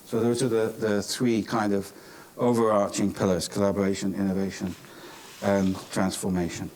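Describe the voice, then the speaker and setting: A British man from Cornwall giving semi-formal closing remarks at a conference, speaking in a halting, hesitant way. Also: fairly low pitch, flat delivery, darkish tone. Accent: British English. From Cornwall, England. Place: indoor business school conference venue. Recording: clearly noisy conference room recording.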